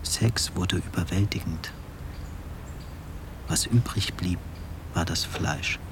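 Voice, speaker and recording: A man's voice: sits low, slow pace. An actor playing a grave male commentator, declaiming bleak aphorisms in a radio play monologue. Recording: echoey space with clear background noise.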